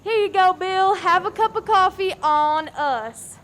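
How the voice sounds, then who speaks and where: A woman's voice: very high-pitched, unhurried, a bit bright, a little hiss or hum. A woman playing a whiny, flippant young waitress in a comic radio play. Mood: breezy.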